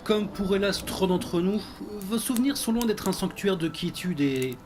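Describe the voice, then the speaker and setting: An actor playing a fussy, devoted butler in an audio drama, speaking in a formal, wordy, deferential way. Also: male voice, high-pitched, expressive, moderate pace, over music. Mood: solicitous.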